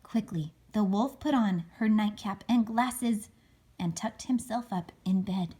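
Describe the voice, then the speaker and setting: A woman reading a storybook to a child as a mother, dramatic and expressive, dropping into hushed tones for suspense. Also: medium pitch, unhurried, a little hiss or hum.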